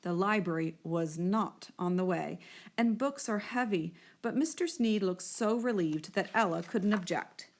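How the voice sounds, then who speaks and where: Frau, die ausdrucksvoll ein Bilderbuch vorliest. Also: female voice, mid-range voice, unhurried. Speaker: children's librarian reading a storybook aloud. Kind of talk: expressive picture-book storytelling for children.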